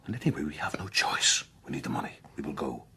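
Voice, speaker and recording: An actor playing a boastful, reckless gentleman with a gruff voice, quick to curse, in a period radio drama. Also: extremely low pitch, animated, in a whisper, heavily acted. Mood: dismissive, confident.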